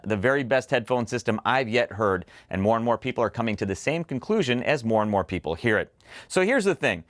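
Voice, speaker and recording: A man's voice: mid-range voice, a bit bright, dry recording, clean studio sound. A man hosting a product review show, presenting scripted material to camera in an articulate, enthusiastic way. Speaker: host of a headphone review show.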